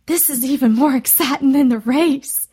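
A woman voicing an excitable, admiring rustic woman with a folksy, exclaiming way of talking. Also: high voice, moderate pace, breathy edge, voice pushed up. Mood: excited.

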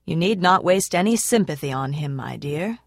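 A woman voicing a calm, stern but fond father who speaks in a measured, restrained way. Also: on the low side, no echo, clean studio sound.

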